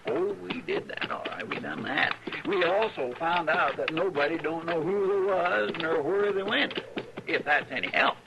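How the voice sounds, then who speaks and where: A folksy, twangy deputy sidekick. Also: male voice, fairly high pitch, moderate pace, muffled recording. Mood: chatty. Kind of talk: 1950s radio drama acting. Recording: clear hiss of old radio transcription.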